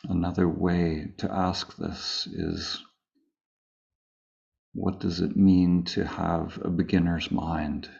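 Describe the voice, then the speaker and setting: Very slow, low-voiced man guiding meditation. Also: gravelly, muffled recording. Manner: calm, unhurried, with long pauses between phrases. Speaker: meditation teacher. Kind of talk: slow guided meditation, spoken live.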